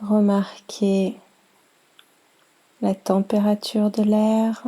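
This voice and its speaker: A woman guiding a yoga nidra meditation in a soft, soothing, unhurried manner with long pauses. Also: medium pitch, flat delivery. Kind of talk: guided meditation.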